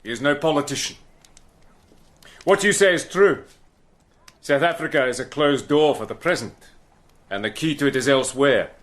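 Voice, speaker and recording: An actor narrating in the first person and playing a cool, persuasive British agent in a radio drama, calm and measured. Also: male voice, mid-range voice, lightly acted. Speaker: narrator and lead character.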